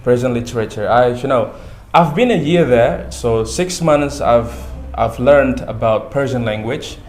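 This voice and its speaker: A man's voice: medium pitch, darkish tone. Hesitant man, echoey room. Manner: halting, repeats words, many fillers. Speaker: guest. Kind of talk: casual interview chat.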